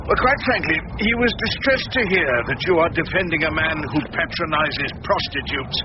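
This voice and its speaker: An actor playing a shrewd, sardonic older defence barrister in a radio drama, speaking with dry, sly courtesy. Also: male voice, on the high side, normal speed, natural voice. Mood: sly, persuasive. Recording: dull, noisy lo-fi radio recording.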